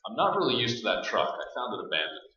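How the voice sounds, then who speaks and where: A man's voice: mid-range voice, sing-song, fast talker, crowd noise, lightly acted. A man playing a practical, urgent leader of a group of survivors in a staged radio play. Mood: commanding. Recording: very echoey stage, distant, muffled band.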